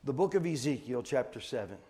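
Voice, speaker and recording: A man preaching a sermon as a missionary teacher, emphatic, repeating phrases for weight and swinging between hushed line endings and loud stresses. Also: mid-range voice, lively intonation, brisk, long reverb tail. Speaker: missionary preacher and Bible translation trainer.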